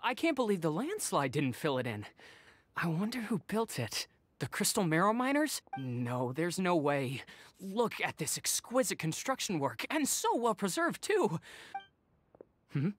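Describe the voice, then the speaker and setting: A man's voice: high voice, sing-song, a little slow, a bit bright, exaggerated voice. A man voicing a kind, loyal young samurai deputy in a video game, earnest and stammering when startled. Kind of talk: game voice acting.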